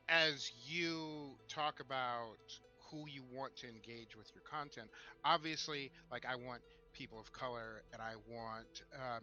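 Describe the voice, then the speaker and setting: A man in casual podcast discussion, picking his words in a halting, thoughtful way. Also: mid-range voice, sharp tone. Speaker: podcast co-host. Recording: dull lo-fi mic, music behind.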